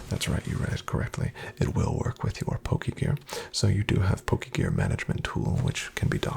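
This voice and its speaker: A man in a soft, breathy, mostly whispered ASMR roleplay as a financial advisor, speaking with reassuring, salesman-like politeness and hesitant pauses. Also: on the low side. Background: computer keyboard typing.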